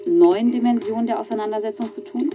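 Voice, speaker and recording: An actress playing a formal, pressing radio interviewer with measured delivery in a crime drama. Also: female voice, fairly high pitch. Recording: dull radio sound over music.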